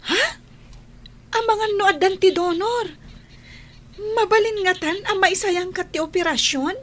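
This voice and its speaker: Very high, childlike radio drama girl. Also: unhurried, a bit bright, slight background noise. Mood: curious, hopeful. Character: selfless, earnest young girl, a loving sister. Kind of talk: Ilocano radio drama acting.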